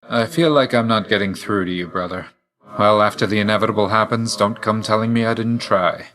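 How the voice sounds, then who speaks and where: Man voicing a low-voiced, reserved god of death. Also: fairly even intonation, a bit bright, natural voice. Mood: stern. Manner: measured, formal, understated. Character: male god of death, reserved, dutiful, weary, quietly fond. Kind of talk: video game character voice lines.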